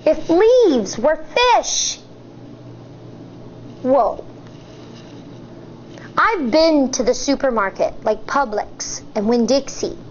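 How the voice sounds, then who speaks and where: An American woman in her thirties, a teacher reading a picture book aloud to children in an expressive, deliberate way. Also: sits high, lo-fi sound, slight background noise. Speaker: elementary school teacher.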